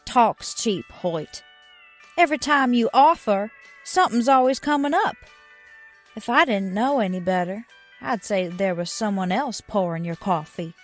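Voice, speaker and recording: A woman's voice: medium pitch, animated, unhurried, soft background music, close to an everyday voice. A woman playing a folksy, teasing small-town diner waitress with sassy, colloquial talk in a radio drama. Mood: sardonic.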